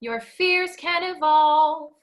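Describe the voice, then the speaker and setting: An actress playing a darkly comic chorus figure in a stage fable, delivering verse in a theatrical musical style. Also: female voice, high-pitched, takes it slowly. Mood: dark, mocking.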